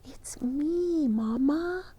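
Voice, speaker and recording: A woman voicing an earnest, wistful young female reindeer from a storybook, with hushed exclamations. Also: sits high, expressive, extremely slow, no room sound, lo-fi sound, pitched above the performer's own voice, heavily acted. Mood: excited.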